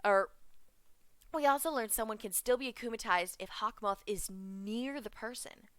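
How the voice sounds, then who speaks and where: A young woman chatting in an excited fan reaction vlog, giggling, making puns and drifting off track. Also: on the high side, moderate pace, dry recording. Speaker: vlogger reviewing a cartoon episode.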